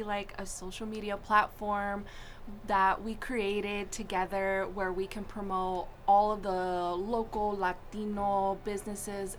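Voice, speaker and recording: Animated young woman radio guest. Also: medium pitch, slight background noise. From Idaho, US. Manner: casual, animated storytelling. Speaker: guest, social media co-founder.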